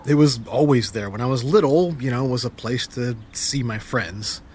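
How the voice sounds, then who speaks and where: Actor as wistful man, mid-pitched, reflective. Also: darkish tone, a little hiss or hum, close to an everyday voice. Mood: wistful, wry. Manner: reflective, halting storytelling. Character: wistful, grieving former youth pastor, candid and wry. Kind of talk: naturalistic audio drama acting.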